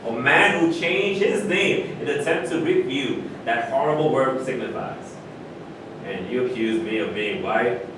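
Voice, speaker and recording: A proud retired athlete, played by a man, argues with self-assurance in a staged play reading. The sound comes from far off in a very echoey room, over clear rumbling noise. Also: unhurried, close to an everyday voice. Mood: lecturing. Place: live staged reading in a room, distant microphone.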